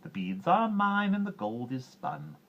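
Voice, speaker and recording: A man's voice: on the high side, big pitch swings, measured pace, vocal fry, lo-fi sound, pitched above the performer's own voice, heavily acted. A man voicing a bizarre little storybook gnome who mocks, wheedles and chants in sing-song rhymes. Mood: gleeful chanting. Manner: sing-song, roaring and crooning with nonsense rhymes.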